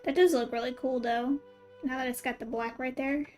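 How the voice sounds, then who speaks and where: High-pitched woman livestreaming a game. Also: steady tone, normal speed, a little mellow, faint music behind. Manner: informal, thinking aloud, asking viewers questions. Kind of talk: casual livestream chat with viewers while playing.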